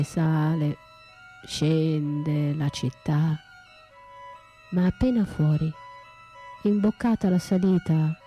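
An actress playing an anguished, bitterly lyrical battered wife left for dead, in an intimate, halting radio theatre monologue that drifts into whispers. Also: female voice, medium pitch, dark tone, close to an everyday voice. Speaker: stage and radio actress performing her own monologue. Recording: roomy echo, faint music behind.

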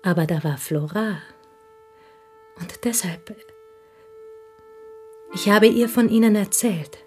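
An actress in a German radio play, playing an intense, embittered provincial Frenchwoman making a fervent, halting confession in a husky, breathy voice. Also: mid-range voice, a little mellow, soft background music, close to an everyday voice. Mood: pained, confessing. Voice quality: husky, breathy, dropping into whispers. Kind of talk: German radio play acting, dramatic monologue.

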